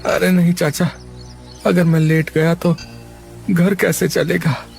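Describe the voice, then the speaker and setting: A man voicing an exhausted, feverish young laborer in a cartoon, speaking in a weak, halting way. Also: a little high, level tone, creaky, darkish tone, faint music behind. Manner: slow, halting, stammering. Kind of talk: cartoon voice acting.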